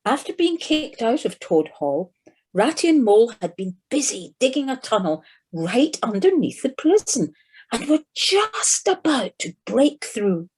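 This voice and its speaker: A woman with a husky voice narrating a scripted children's story for an audio play. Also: on the high side, big pitch swings, unhurried, darkish tone.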